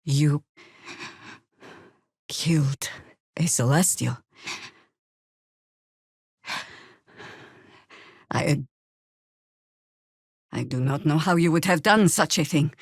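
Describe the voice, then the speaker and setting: A woman voice actor playing a seasoned, older druid warrior in a video game, wry, stern and proud, with measured, commanding delivery. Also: low-pitched, vocal fry, slightly rough, dry recording, studio quality, heavily acted. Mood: grave. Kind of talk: scripted video game dialogue.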